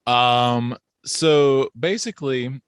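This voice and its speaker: A man's voice: mid-range voice, very slow, clear, resonant tone, no room sound, clean studio sound. A man with an easygoing, rambling way of talking, chatting casually as he hosts an interview over a video call. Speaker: podcast host.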